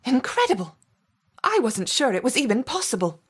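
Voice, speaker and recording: A woman's voice: fairly high pitch, sing-song, normal speed, heavy background noise. A woman playing an authoritative lead scientist in a video game, with a clipped, commanding manner. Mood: amazed. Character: authoritative female lead scientist overseeing experiments. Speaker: research supervisor character. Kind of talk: video game character acting.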